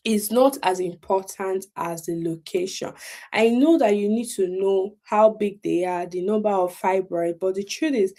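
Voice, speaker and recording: A woman's voice: mid-range voice, dark tone. A woman with a Nigerian accent hosting a casual live explainer, talking emphatically, repeating key points and checking in with her listeners. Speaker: health talk host.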